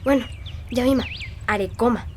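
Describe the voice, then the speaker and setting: A woman playing chatty neighbor Rosa, high voice. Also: normal speed, slight background noise, natural voice. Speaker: radio drama actress.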